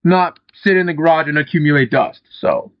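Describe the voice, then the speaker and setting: A young man with a slightly high voice talks his way through a car-building game, loose and rambling, with casual swearing thrown in. The sound is dry and close, with a somewhat dull, muffled tone. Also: a little fast, no echo. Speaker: gaming channel host. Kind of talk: casual gameplay commentary.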